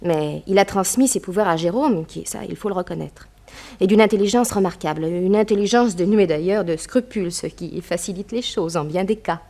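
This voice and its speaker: A woman's voice: on the low side, brisk, mellow. An actress playing a poised, well-bred young woman, determined and vindictive, speaking articulately in a 1960s French radio drama. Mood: assured. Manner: articulate, decided.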